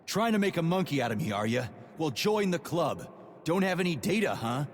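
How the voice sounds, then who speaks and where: A man playing a gruff, foul-mouthed, hot-headed army major in a video game, with a blunt and sarcastic husky delivery. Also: a little high, very animated, fairly quick, in a large hall. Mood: angry, sarcastic. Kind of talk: video game cutscene acting.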